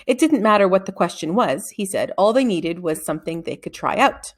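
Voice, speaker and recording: A woman's voice: medium pitch, expressive, a little fast. A woman narrating a children's storybook from a script, reading expressively and acting out the dialogue. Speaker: read-aloud narrator of a children's novel.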